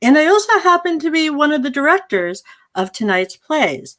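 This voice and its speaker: A high-pitched female voice hosts an online theatre night. She speaks as the manager of the venue, giving a welcome and introduction to the program. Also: sing-song, moderate pace, a bit bright. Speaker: theatre operations manager and evening's host.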